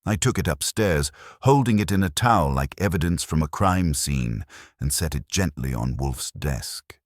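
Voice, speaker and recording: Very deep-voiced man narrating a detective story. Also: expressive, moderate pace, dry recording, clean studio sound. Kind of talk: scripted first-person detective story narration.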